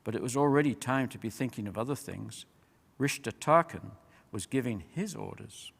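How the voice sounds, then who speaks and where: A man reading a story aloud as an expressive audiobook-style narrator. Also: medium pitch, normal speed, gravelly. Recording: long hall-like reverb.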